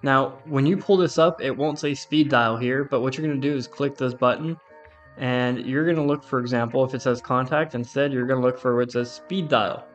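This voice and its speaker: A man's voice: mid-range voice, fairly quick, soft background music. A man presenting a step-by-step tech tutorial in an informal, conversational instructional manner. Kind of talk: casual step-by-step software walkthrough.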